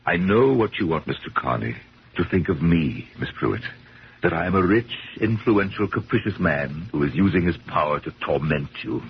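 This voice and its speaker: An actor playing a rich, self-righteous old collector in a 1970s radio drama, speaking formally and emphatically, with an accusing edge. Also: male voice, low-pitched, expressive, normal speed. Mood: condescending, grave. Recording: muffled, hissy 1970s radio broadcast.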